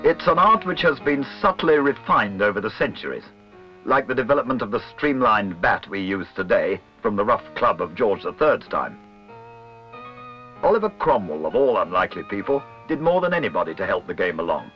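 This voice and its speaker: A man's voice: lowish voice, big pitch swings, moderate pace. A man reading scripted documentary narration. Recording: muffled old film soundtrack with music. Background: music.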